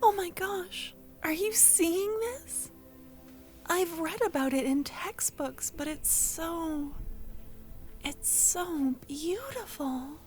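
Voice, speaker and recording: A woman playing a shy, excitable, tender young friend in love in a soft-spoken ASMR audio roleplay, breathy and often near a whisper. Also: very high-pitched, slow pace, faint music behind, exaggerated voice. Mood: awed, excited.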